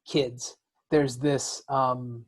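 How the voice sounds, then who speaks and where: A man's voice: medium pitch, flat delivery, very slow. A man co-hosting a podcast, speaking reflectively in casual conversation, with frequent restarts and repeated words. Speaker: podcast co-host.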